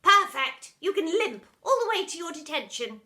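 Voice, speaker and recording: A woman voicing a stern, cruel schoolmistress in a storybook, with imperious, drawn-out, emphatic pronouncements. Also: extremely high pitch, sing-song, sharp tone, voice pushed up, exaggerated voice. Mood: gleefully stern. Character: stern, cruel, shrieking schoolmistress who relishes punishing children. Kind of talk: storybook character voice in read-aloud.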